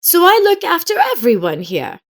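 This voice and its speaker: A woman's voice: high voice, wildly swooping pitch, normal speed, voice pushed up, heavily acted. A woman voicing a kindly, sorrowful elderly neighbor with an earnest, emphatic manner in an audio story. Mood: concerned.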